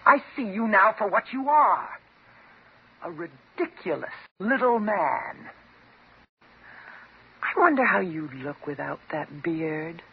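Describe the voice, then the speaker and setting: An actress playing a bitter, vengeful jilted patient with a mocking, sarcastic and dramatic manner in a 1950s radio drama. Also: female voice, mid-range voice, lively intonation, slow, pauses often, slight background noise. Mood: cold, bitter. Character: bitter, vengeful jilted woman patient holding doctor at gunpoint. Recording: dull old 1950s radio recording.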